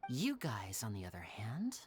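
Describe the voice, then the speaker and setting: An actor voicing a smooth, teasing young male stage magician in a game, who talks in a coaxing, knowing way. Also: on the low side, huge pitch swings, normal speed, faint music behind. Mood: sly.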